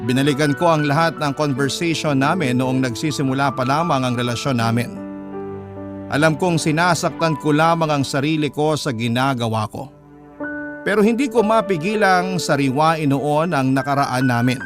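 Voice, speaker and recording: A man's voice: a little high, normal speed, over music. A man narrating a listener's letter as a radio storyteller, in an emotive, confessional scripted style.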